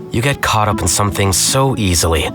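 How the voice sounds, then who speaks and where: A man playing a cool, needling young intellectual in an anime game, with a dry, deadpan delivery. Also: fairly low pitch.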